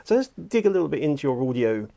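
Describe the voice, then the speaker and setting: A man's voice: fairly high pitch, very animated, quick pace, vocal fry, dark tone. A middle-aged English man presenting a casual, jokey interview in a conversational way. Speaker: presenter, audiobook producer and director. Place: small furnished office room, indoors.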